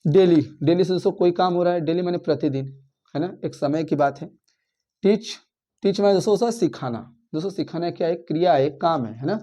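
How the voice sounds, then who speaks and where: A man teaches a grammar lesson. His voice sits high, but its tone is soft and mellow. He keeps calling his listeners friends, asking questions and answering them, and repeating his points as he goes. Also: brisk. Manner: counts words aloud, repeats and rephrases points. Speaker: school English teacher.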